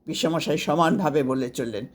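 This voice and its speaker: A woman reading a scripted first-person story aloud as its storyteller, with an expressive delivery. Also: deep voice, moderate pace. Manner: expressive, acts out quoted dialogue.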